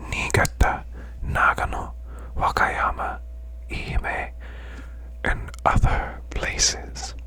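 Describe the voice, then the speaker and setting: A performer voicing a male demon boss who tells bedtime tales in ASMR style, in a hushed, breathy, growling whisper with slow, deliberate delivery. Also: very high voice, exaggerated voice.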